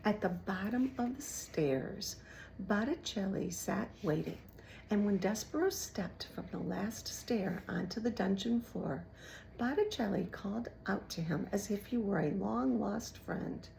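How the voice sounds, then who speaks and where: Lady reading a kids book aloud, slow, lively, little creaky. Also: mid-range voice, slight background noise, rough recording. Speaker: children's book reader. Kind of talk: expressive storybook read-aloud.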